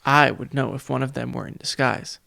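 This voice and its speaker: A man's voice: mid-range voice, steady tone, fairly quick, gravelly, pushed and bright, no echo. A man voicing a pompous, mocking school vice principal who sneers and jeers, in an audiobook for children. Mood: dismissive. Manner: exclaiming, sneering, jeering.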